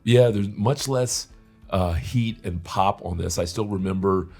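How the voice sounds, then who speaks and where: Man, very low pitch, husky edge, casual talk co-host, faint background music. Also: unhurried, a little mellow. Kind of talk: casual discussion.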